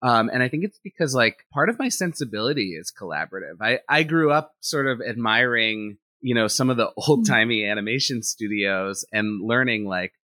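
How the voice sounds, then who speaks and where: A man, an animator and director, talking in a relaxed interview and thinking out loud with lots of "like" fillers. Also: mid-range voice, normal speed, hardly any pauses, no room sound. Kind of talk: casual interview conversation.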